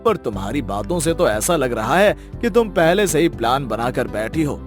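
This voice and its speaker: Man as a lively old village uncle. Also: mid-range voice, normal speed, faint music behind, close to an everyday voice. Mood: genial, coaxing. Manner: familiar, avuncular. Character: kindly old village uncle, matchmaking elder. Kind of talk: Hindi animated moral story dialogue.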